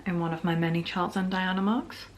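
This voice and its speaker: A young woman from the north of England talks straight to her camera at home, joking her way through a story. Her voice is slightly scratchy from a sore throat and sits a touch lower than most. She talks loosely and casually, full of fillers. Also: steady tone, fast talker. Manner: chatty, jokey, full of filler "like"s. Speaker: vlogger.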